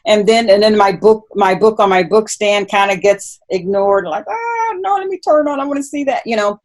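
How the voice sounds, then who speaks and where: A woman's voice: medium pitch, lively intonation, moderate pace, darkish tone. A woman hosting an interview in casual conversation, speaking earnestly and repeating words for emphasis. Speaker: host, interviewer.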